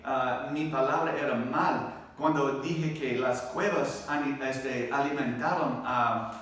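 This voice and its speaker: A man with a foreign accent, not a native Spanish speaker, teaching a church Bible class in a halting way, searching for words and checking with his listeners. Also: fairly high pitch, fairly bright tone. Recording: very echoey room, distant microphone.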